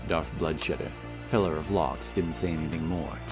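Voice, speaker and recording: Male narrator, low register, dramatic scripted fantasy storytelling over prominent music. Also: steady tone, normal speed. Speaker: audiobook narrator. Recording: loud music behind the voice.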